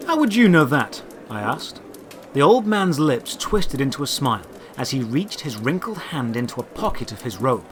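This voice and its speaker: A man narrating a scripted fantasy adventure in the first person, with deliberate, theatrical storytelling delivery. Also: medium pitch, sing-song, slight background noise. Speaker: narrator and writer of the series.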